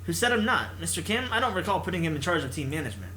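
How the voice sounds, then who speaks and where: A man's voice: a little high, very animated, very fast, bright, forceful tone, slight background noise, low-quality recording. A man reading the part of a brusque, demanding female esports team captain in a visual novel, curt and commanding. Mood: defiant.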